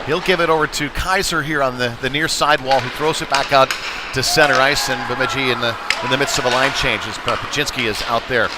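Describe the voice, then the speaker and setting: A man giving live play-by-play commentary of a youth hockey game, following the action and lifting his voice at big plays. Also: brisk. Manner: running description with excited shouts at big plays. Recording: noisy arena with rumble and thuds.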